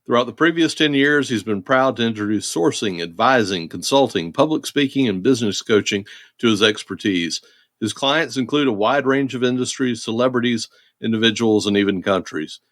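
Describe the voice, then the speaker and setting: A man in his forties hosting a podcast, reading a guest intro and then chatting in a steady way. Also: medium pitch, moderate pace, dry recording, studio quality. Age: 40s. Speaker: podcast host.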